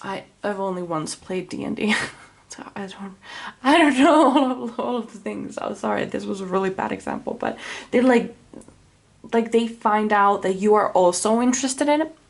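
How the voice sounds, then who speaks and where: A woman's voice: mid-range voice, moderate pace, gravelly. A woman with a Finnish accent, a native Finnish speaker, giving a casual tarot reading to camera. She rambles, corrects herself and keeps apologizing. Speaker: tarot reader.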